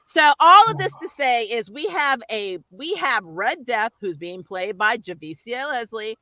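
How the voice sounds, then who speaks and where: Bright-voiced, emphatic woman. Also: on the high side, expressive, unhurried, dry recording, poor audio. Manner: exasperated, emphatic ranting, laughs often. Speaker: host of a pop culture review show. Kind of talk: casual, opinionated TV recap chat with a co-host.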